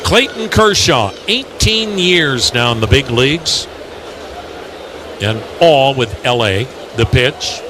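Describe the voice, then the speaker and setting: A man's voice: mid-range voice, expressive, slow pace, noisy background. A man announcing live baseball play-by-play on the radio.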